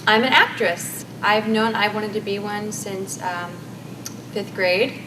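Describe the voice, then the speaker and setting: A woman's voice: medium pitch, takes it slowly, background noise. A woman from Iowa, an actress, talking casually and matter-of-factly on camera. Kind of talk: casual interview.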